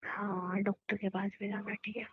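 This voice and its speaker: A woman's voice: mid-range voice, little pitch movement, normal speed, breathy edge. A woman playing a cheeky, stubborn young bride-to-be who gives curt, teasing replies in an intimate phone-call drama. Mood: shy, hushed. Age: young adult. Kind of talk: intimate phone-call audio drama, casual Hindi banter.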